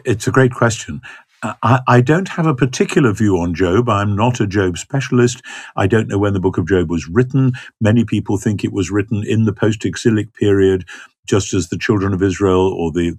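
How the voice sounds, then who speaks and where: An older man answers questions as a biblical scholar, thoughtful and halting, with many ums and restarts. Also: on the low side, a little mellow, slightly rough, dry recording, clean studio sound. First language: not Hebrew. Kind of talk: thoughtful conversational explanation.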